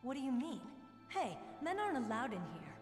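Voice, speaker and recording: A woman's voice: a little high, sing-song, brisk, faint music behind. A woman playing a bold, cocky young female soldier in a video game. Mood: cocky, challenging. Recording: echoey reverberant game scene, distant.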